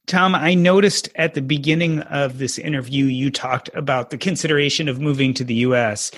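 A man's voice: mid-range voice, steady tone, brisk, a bit bright, no room sound. A man hosting a podcast, asking his guests conversational, leading questions. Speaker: podcast host interviewing guests.

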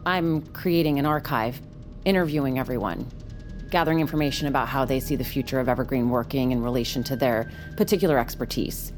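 An actress playing an officious, composed and proud archivist in a naturalistic audio drama. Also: female voice, rumbling low, brisk, soft background music. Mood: officious, polite.

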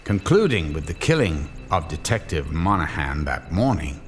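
An actor playing the narrator of a horror radio tale, telling it in a theatrical, measured way. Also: male voice, a bass voice, sing-song. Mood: ominous, wry. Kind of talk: scripted dramatic narration. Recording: long hall reverb, faint music.

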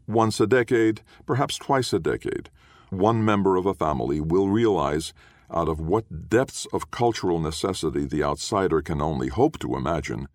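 A man reading literary prose as an audiobook narrator, in a measured, formal and deliberate manner. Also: deep voice, gravelly, no room sound, clean studio sound.

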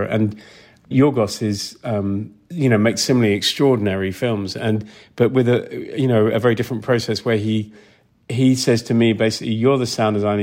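Lowish-pitched man, halting and reflective. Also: darkish tone. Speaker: film sound designer, interview guest. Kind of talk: casual, reflective interview talk.

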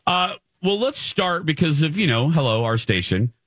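A man's voice: on the high side, animated, moderate pace, a bit bright, dry recording, clean studio sound. An American man hosting a morning radio show with casual, playful, chatty banter.

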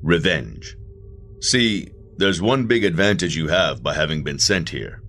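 A man voicing a cool afterlife agent, very deep. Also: lively intonation, a little slow, gravelly, a bit bright, soft background music, full character voice. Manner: cool, matter-of-fact, faintly mocking.

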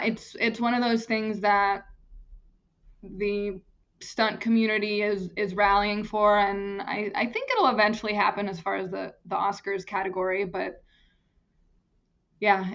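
A woman's voice: medium pitch, a little slow, a bit bright, dull audio. A young woman from Germany, an actress and stunt performer, chatting casually as an interview guest, often restarting her phrases.

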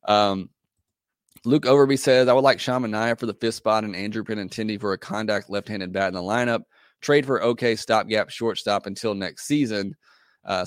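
A hoarse man with a lowish voice hosts a casual podcast, working through listener comments. He talks in a loose, hesitant way with plenty of fillers, recorded close and dry with no room sound. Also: no room sound. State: hoarse, losing his voice. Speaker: podcast host.

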